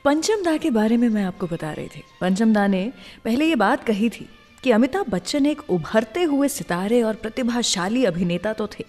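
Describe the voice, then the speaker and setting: A woman's voice: fairly high pitch, animated, moderate pace, darkish tone. Woman presenting a music radio show, chatty storyteller, lots of reverb, music playing under her. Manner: conversational storytelling, addresses listeners directly. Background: music bed.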